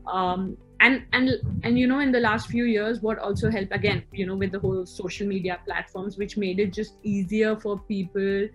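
A woman with an Indian accent answers interview questions in a reflective, conversational way. Her voice sits at a middle pitch, and she feels her way through her thoughts with some hesitation. She is on a video call, with music playing behind her. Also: fairly even intonation, moderate pace, hardly any pauses, fairly bright tone, poor audio. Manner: conversational, hesitant, thinking aloud. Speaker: interview guest, co-founder of a queer community platform. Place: small room indoors, on a video call. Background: background music.

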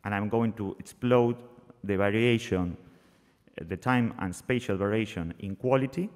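Young man at a desk mic, slightly low voice, earnest academic talk that keeps restarting, long hall reverb. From Argentina. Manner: halting, frequent restarts, plain and earnest. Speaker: conference presenter, PhD researcher. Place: conference hall, seated at a panel table, masked. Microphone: desk microphone on a stand.

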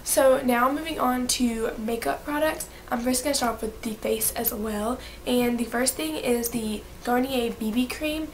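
A woman's voice: a little high, slight background noise. A young woman with a stuffy, cold-affected voice, gushing about her favorite products in a casual vlog recorded in her bedroom. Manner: bubbly, gushing, quick asides. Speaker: beauty vlogger. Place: bedroom, indoors.

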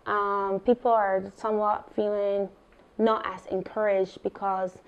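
Animated Barbadian woman. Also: mid-range voice, a little slow, clean tone, muffled recording. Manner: animated, expressive storytelling. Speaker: artist guest. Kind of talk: live artist talk on stage. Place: small gallery room. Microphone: handheld mic.

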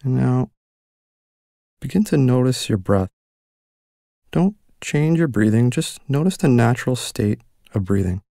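A man leading a guided mindfulness meditation with slow, gentle and soothing instructions. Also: mid-range voice, animated, no echo, clean studio sound.

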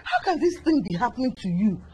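A sympathetic, gossipy woman friend with a lively, swinging voice, played by an actress. Light noise sits behind her. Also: fairly high pitch, quick pace, poor audio. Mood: consoling. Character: gossiping Nigerian female friend of the mother. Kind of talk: Nollywood-style skit acting.

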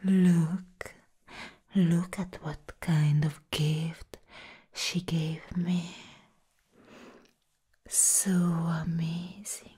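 A woman playing a seductive, sinister plant villainess in a breathy whisper, as soft-spoken ASMR roleplay, slow and deliberate. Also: on the low side, flat delivery, heavily acted. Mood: doting, pleased.

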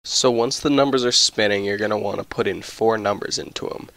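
A man's voice: fairly low pitch, fairly quick, fairly bright tone. A man narrating a casual video game walkthrough.

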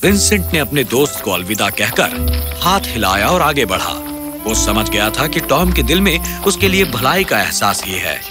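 A man's voice: medium pitch, very animated, over music. A man narrating a scripted children's fairy tale as storyteller.

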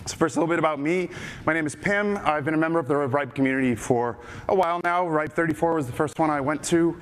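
A man's voice: fairly high pitch, quick pace. Middle-aged Dutch man with American-sounding accent, casual conference speaker, filler-heavy delivery, long hall reverb, faint noise. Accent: American-sounding English. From Netherlands. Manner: casual, joking, many filler uh's and restarts. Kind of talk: technical conference talk. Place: large conference stage.

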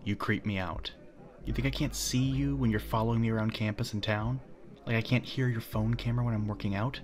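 A man playing a cocky, irritable young party host in an ASMR roleplay, talking casually and haltingly. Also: fairly low pitch, fairly even intonation, lightly acted. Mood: irritated, brusque. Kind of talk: ASMR roleplay audio drama.

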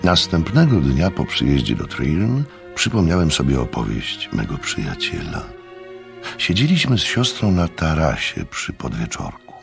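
An actor playing a curious, courteous gentleman in a radio drama, speaking in a measured, polite way. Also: very deep voice, wildly swooping pitch, creaky, over music, heavily acted. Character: curious, courteous gentleman narrating his visit to his sister. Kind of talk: radio drama acting and narration.

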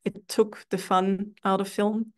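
A young woman from Austria, an English speaker, telling her story in a relaxed, chatty way as an interview guest over a video call from a room at home. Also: medium pitch, takes it slowly. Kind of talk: casual interview chat.

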